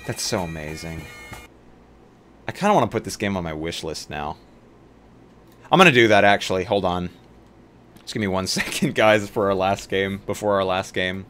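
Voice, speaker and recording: A man hosting a livestream, chatting casually about games in an excitable, jokey way. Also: deep voice, moderate pace, pauses often, slight background noise. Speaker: livestream host. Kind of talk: casual game-stream chat. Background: music.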